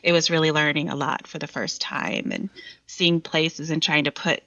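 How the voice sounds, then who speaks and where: Bright, low-pitched woman, slightly muffled recording. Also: steady tone, normal speed, creaky, no echo. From Virginia, United States. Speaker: podcast guest, poet and scholar. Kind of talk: thoughtful casual interview conversation.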